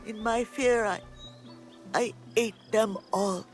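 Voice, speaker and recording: A woman playing an erratic, frantic peasant raving about a curse in a video game, agitated and halting. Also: fairly high pitch, steady tone, soft background music. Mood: distraught. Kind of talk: video game cutscene acting.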